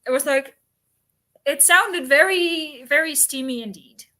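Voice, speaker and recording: A woman's voice: high-pitched, unhurried, pushed and bright. A woman chatting casually and explaining things in an informal, talkative way, with asides. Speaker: book discussion co-host. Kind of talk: casual chat about books and history.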